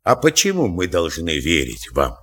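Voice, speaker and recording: A stern, calm Soviet general. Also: male voice, low-pitched, breathy edge, exaggerated voice. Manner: calm, measured, dryly ironic. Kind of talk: war film acting.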